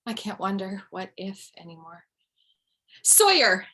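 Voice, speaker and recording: A woman's voice: medium pitch, very animated, unhurried, pushed and bright, breathy edge, heavily acted. A woman playing a restless, wry middle-aged mother in an amateur table read over a video call. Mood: determined.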